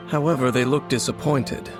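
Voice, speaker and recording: A man acts out a stoic wanderer in a video game, with a mid-pitched male voice. He is guarded and serious, choosing formal words with measured gravity. Music plays clearly underneath. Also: steady tone, natural voice. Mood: pensive, serious. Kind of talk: video game character acting.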